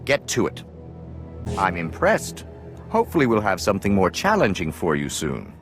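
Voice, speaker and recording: An actor playing a composed military instructor in a video game, formal, measured and authoritative. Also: male voice, sits low, wildly swooping pitch, faint music behind, exaggerated voice. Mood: composed authority. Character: composed male military instructor briefing a trainee. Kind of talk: video game dialogue acting.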